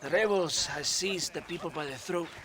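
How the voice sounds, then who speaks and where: An actor playing a smug, volatile Mexican army captain in a video game, with a grandiose, melodramatic delivery and a Mexican accent. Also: male voice, a little high, moderate pace, crowd noise, close to an everyday voice. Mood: mournful, self-righteous. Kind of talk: cinematic game dialogue acting.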